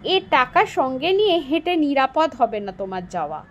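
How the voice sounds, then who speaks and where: A woman voicing an excitable, fatherly king in a storybook, speaking in an emphatic, commanding way. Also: sits high, normal speed, slight background noise. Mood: generous, insistent. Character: excitable, generous fatherly old-fashioned king.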